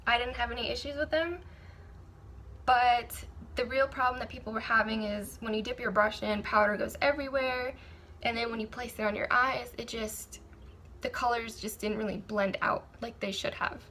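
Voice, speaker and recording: A young woman with a raspy, sore throat, chatting candidly through a makeup review with frequent ums and restarts. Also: a little high, fairly even intonation, moderate pace, a bit bright, a little hiss or hum. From Maryland, USA. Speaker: beauty vlogger. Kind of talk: casual product review.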